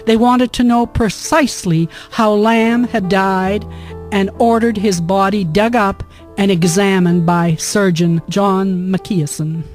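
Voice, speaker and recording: A woman's voice: mid-range voice, steady tone, slow pace, a little mellow, faint music behind. A woman reading scripted narration for a historical radio drama.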